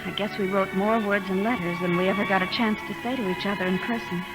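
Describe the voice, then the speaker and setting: A woman's voice: medium pitch, fairly even intonation, fast talker, lightly acted, rough recording. Actress as earnest young woman, music behind. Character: earnest, candid young widowed mother at college. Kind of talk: 1950s radio drama acting.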